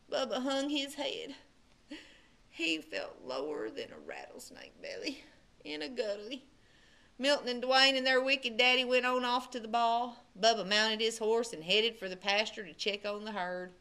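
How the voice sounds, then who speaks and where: A woman librarian reading a folksy Western picture book aloud to children. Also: a little high. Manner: animated, folksy storytelling. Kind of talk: storybook read-aloud.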